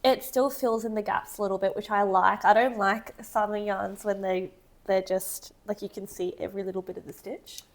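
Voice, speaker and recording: A woman's voice: mid-range voice, fairly even intonation, normal speed. A young woman co-hosting a knitting podcast, bubbly and a bit congested. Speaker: podcast co-host. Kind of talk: casual chat.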